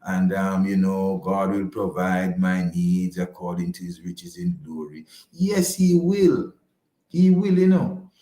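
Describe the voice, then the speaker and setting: Low-voiced man in an echoey room, speaking haltingly. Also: warm tone. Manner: halting, repeats words while forming points. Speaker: guest financial advisor. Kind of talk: conversational interview answers on faith and finances.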